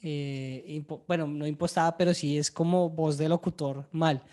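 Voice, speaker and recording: A Colombian woman with a lowish, dark and mellow voice co-hosts a casual chat. She is blunt and very colloquial, dropping slang and swear words freely. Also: fairly even intonation, moderate pace. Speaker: podcast co-host. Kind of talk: casual chat about pop culture.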